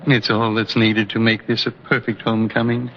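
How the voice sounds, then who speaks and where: A man's voice: on the low side, completely flat delivery, normal speed, slight background noise, close to an everyday voice. An actor playing a frail, sentimental old man with a tender, courtly way of talking in an old-time radio drama. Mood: dreamy. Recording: dull old radio recording, faint music.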